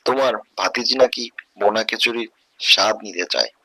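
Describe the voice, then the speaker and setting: A caring, poor village father in a cartoon tale, played by a man. He has a forceful, bright voice that is a little low, with an earnest manner. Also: a little fast, dry recording. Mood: hurried. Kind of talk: Bengali cartoon story dubbing.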